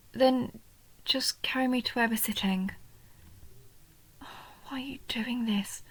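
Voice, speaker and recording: A woman voicing a shy, sweet, nerdy goblin girl in a soft roleplay audio, hesitant and gentle, often dropping into breathy half-whispers. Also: a little high, heavily acted, low-quality recording. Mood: embarrassed, apologetic. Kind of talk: roleplay audio, soft intimate story acting.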